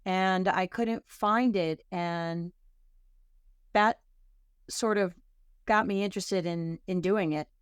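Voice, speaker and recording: A woman being interviewed as a guest author, talking thoughtfully and often pausing to restart a sentence. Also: medium pitch, clean tone, no echo, studio quality. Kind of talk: casual interview talk.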